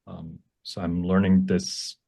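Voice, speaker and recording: A man giving an informal workshop presentation with a demonstration, explaining in a halting way and often correcting himself. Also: low voice, little pitch movement, gravelly, warm tone. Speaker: workshop presenter and font developer.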